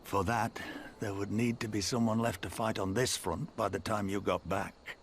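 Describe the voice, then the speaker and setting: An actor playing a weary, stern older rebel leader in a game cutscene, speaking gravely and bitterly. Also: male voice, fairly low pitch, fairly even intonation, normal speed, a little hiss or hum, close to an everyday voice. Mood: doubtful. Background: orchestral game score.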